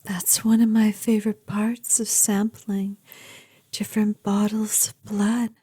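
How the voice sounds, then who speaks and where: An ancient, obsessively devoted vampire girlfriend played by a woman for an ASMR audio roleplay. Her voice is soft and breathy, often slipping into whispers. She lingers elegantly over her words at a very slow pace, in a dry, intimate recording. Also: mid-range voice, dry recording.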